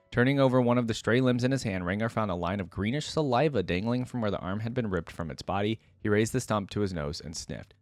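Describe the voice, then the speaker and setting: A man's voice: on the low side, no room sound. A man hosting a reaction video, reading a story aloud with dramatic narration and casual asides.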